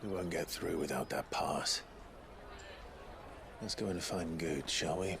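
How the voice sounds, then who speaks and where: An actor playing a stoic, guarded mercenary in a video game cutscene, with a gruff voice. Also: male voice, low-pitched, a little slow, noisy background. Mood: calm, resolute. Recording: music behind the voice.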